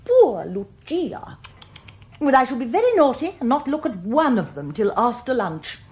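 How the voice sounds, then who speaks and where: A woman's voice: high voice, very animated, normal speed, darkish tone. An actress playing an imperious, self-important middle-aged English society woman, theatrical and affected, in a radio comedy drama. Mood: gushing, affected.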